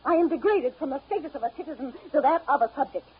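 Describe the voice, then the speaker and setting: An actress playing an indignant women's suffrage campaigner with emphatic, formal oratory in 1930s radio drama style. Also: female voice, sits high, animated, quick pace. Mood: indignant, oratorical. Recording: muffled, noisy 1930s radio recording.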